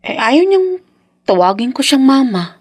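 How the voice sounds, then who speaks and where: Loving young wife, Filipino accent, highish pitch, close and dry, gentle, emotional radio drama. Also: female voice, very animated, measured pace, no echo, exaggerated voice. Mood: quietly hurt. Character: hurt but loving young wife, patient and hopeful.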